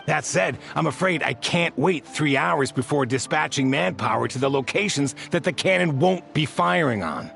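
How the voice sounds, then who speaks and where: A man's voice: fairly high pitch, normal speed, vocal fry, few pauses, a bit bright, faint music behind. A man voicing a composed, dutiful soldier in a video game, speaking formally and with courteous reserve. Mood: serious, reassuring.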